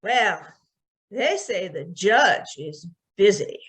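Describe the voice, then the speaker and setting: A woman playing a pushy, loud news reporter in an old time radio drama. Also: medium pitch, expressive, slow pace. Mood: insistent.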